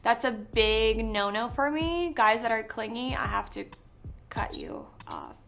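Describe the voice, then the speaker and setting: Chatty young canadian woman, bright highish voice, giggly, lots of ums, faint music behind. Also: sing-song, unhurried, creaky. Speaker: interviewee. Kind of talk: casual on-set interview answers.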